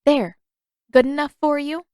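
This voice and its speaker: A woman voicing a confident, teasing teenage girl in an audiobook-style story, with a brisk, playfully sarcastic manner. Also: high voice, steady tone, dark tone, no echo, clean studio sound, pitched above the performer's own voice. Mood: cocky.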